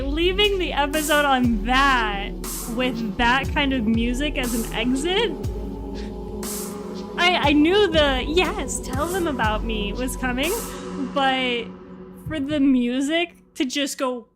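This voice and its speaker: A woman's voice: high voice, expressive, takes it slowly, music in the background. A woman host chatting casually as she reacts on a livestream.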